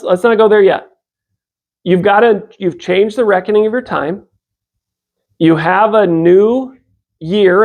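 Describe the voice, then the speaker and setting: High-voiced, creaky American man in his fifties. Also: darkish tone. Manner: conversational, rhetorical questions to listeners. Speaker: religious speaker. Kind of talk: informal religious lecture with acted-out dialogue.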